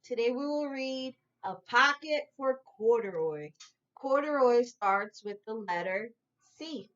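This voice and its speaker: A woman teacher reading a storybook aloud to young children in a deliberate, instructive way. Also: fairly high pitch, lively intonation, a bit bright, lo-fi sound.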